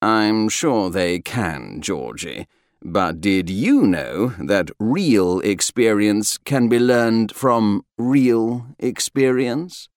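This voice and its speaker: A man's voice: fairly low pitch, lively intonation, very slow, no echo, clean studio sound, full character voice. A man voicing a jovial, daredevil space crewman in an audiobook, a hearty and teasing best mate.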